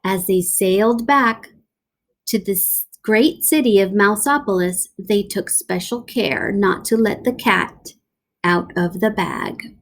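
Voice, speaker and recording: Slow, expressive woman reading a storybook. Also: medium pitch. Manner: animated storytelling with asides. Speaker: elementary school teacher. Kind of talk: picture book read-aloud.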